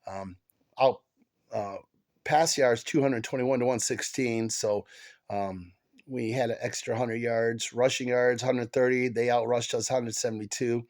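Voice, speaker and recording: A man with a head cold hosts a casual sports chat, his voice stuffy and nasal. He talks in a gravelly, creaky tone, stopping and restarting often. His delivery is halting and full of fillers. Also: medium pitch. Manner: halting, many fillers and restarts, excited fan. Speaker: podcast host.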